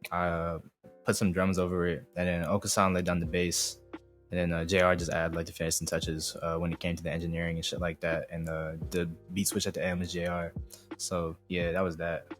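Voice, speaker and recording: Laid-back young man with a very low voice. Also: flat delivery, brisk, soft background music. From born in Indonesia, raised in the US. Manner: laid-back slangy talk, constant "you feel me". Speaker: guest singer and producer. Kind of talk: casual chat.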